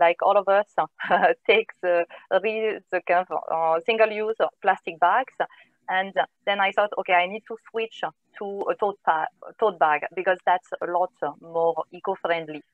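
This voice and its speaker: A woman's voice: on the low side, moderate pace, dry recording. A woman in her thirties from northern France, with a French accent, speaking as an interview guest with many hesitant fillers. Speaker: guest, company co-founder. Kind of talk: video call interview. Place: home room, indoors. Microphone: wired earphone headset on video call. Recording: dry, tinny call audio.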